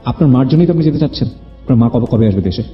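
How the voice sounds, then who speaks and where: A man's voice: medium pitch, animated, very fast. A man with a Bangladeshi accent hosting an interview, speaking earnestly and probingly and mixing in English words. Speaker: radio show host. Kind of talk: conversational life-story interview with advice. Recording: roomy echo, dull muffled sound, music underneath. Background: background music.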